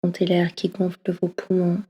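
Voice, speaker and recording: A woman leading a guided yoga nidra session, speaking slowly and softly, often slipping into a half-whisper. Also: lowish voice, monotone, breathy edge, highs cut off. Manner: slow, soft, often half-whispered delivery. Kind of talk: guided meditation. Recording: long reverb as in a hall.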